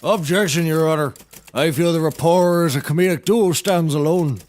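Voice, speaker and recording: A man's voice: fairly high pitch, normal speed, a little mellow, natural voice. An actor playing a pompous, blustering nautical handyman turned defense lawyer, orating theatrically in a scripted courtroom comedy.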